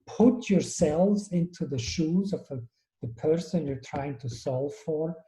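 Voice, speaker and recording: A man speaks as an interview guest with a very dark, mellow tone. He thinks aloud and often restarts his sentences. The room around him gives a noticeable echo. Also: a little high, a little slow. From Switzerland. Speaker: guest, innovation strategist. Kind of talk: conversational interview.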